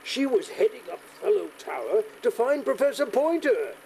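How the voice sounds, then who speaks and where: Mellow, creaky earnest gentleman witness. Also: very high-pitched, very animated, normal speed, slight background noise, full character voice. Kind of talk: video game voice acting.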